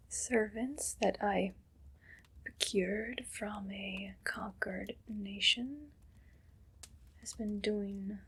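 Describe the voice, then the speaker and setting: A woman playing a haughty, condescending evil queen in an ASMR roleplay, speaking in a breathy, deliberate whisper. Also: medium pitch, fairly bright tone, heavily acted. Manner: slow, deliberate, imperious.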